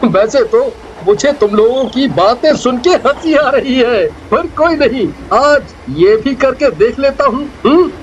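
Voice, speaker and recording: A very high-voiced, scoffing young guy in a horror cartoon, played by a man. He talks slowly and casually, and the audio is dull with faint noise. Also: lively intonation. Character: skeptical, mocking young male train passenger who scares easily. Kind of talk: animated horror story dialogue.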